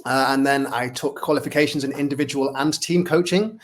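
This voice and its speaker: A man's voice: medium pitch, fairly quick. A man in his forties from Manchester with a Mancunian English accent, a friendly guest who talks about his career in a self-deprecating way over a video call. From Manchester, England. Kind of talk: casual interview chat. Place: indoor room at home. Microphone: webcam on a video call.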